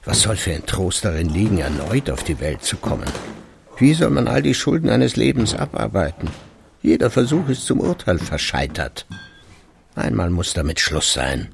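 An actor playing a brooding retired husband in his sixties who secretly drinks, muttering an evasive, halting monologue in a gravelly radio-drama voice, often sinking to near whispers. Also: sits low, sing-song, noisy background, heavily acted. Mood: bitter, brooding.

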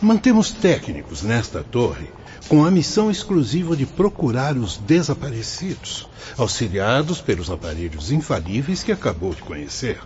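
Male actor as wise fatherly spirit teacher, animated melodic delivery, formal oratory, radio drama, dull recording, light music behind. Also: medium pitch, moderate pace, slight background noise, close to an everyday voice. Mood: patient, explaining. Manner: solemn, didactic, formal oratory. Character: wise, fatherly male spirit mentor explaining afterlife rules. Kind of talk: radio soap opera acting.

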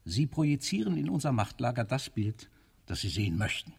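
A husky, imperious military ruler. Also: male voice, mid-range voice, very animated, a little fast, darkish tone, full character voice. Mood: coolly argumentative. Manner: commanding, coolly argumentative, declamatory. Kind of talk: radio play acting.

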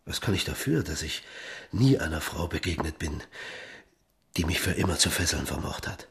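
Deep-voiced amorous baroque king. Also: lively intonation, normal speed, creaky, a bit bright, breathy edge, heavily acted. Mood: seductive. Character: amorous, imperious baroque king, flattering and scheming. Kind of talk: radio drama acting.

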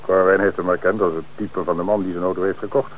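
A man playing a measured police commissioner. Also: low voice, little pitch movement, a little hiss or hum, close to an everyday voice, rough recording. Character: middle-aged male police commissioner in charge. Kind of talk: radio play acting.

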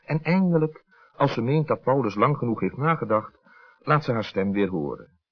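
Man, mid-pitched voice, children's story narrator, expressive storytelling, dull old radio recording. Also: moderate pace.

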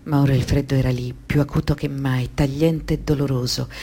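An actress playing a grave narrator, reading a dark literary story in a radio drama. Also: female voice, extremely low pitch, steady tone, fairly quick, slightly rough, exaggerated voice. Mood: grave, sombre.

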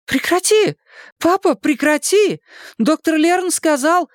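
A woman voicing a rebellious, hysterical nineteen-year-old daughter in a dramatised audiobook, shrill and indignant in her protests. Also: expressive, normal speed, no echo, clean studio sound. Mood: alarmed, protesting.

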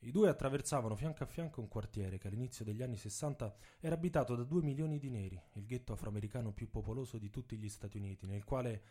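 A man's voice: mid-range voice, fast, few pauses, warm tone. A man narrating a scripted story for radio theatre in a dramatic storytelling style.

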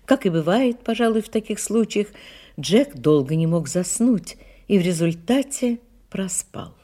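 A woman's voice: mid-range voice, animated, measured pace, mellow. A woman narrating a detective audio play as a composed storyteller, in a scripted dramatic style. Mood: measured narration.

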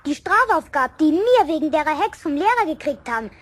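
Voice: very animated, a little fast, fairly bright tone. A performer playing a cocky, vengeful Bavarian schoolboy in a children's radio play, with a childlike voice and a brash, goading manner. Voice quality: high childlike voice.

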